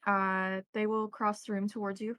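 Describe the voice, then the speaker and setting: A woman game master narrating scenes descriptively and deliberately in a tabletop roleplaying game. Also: mid-range voice, level tone, a bit bright, no room sound.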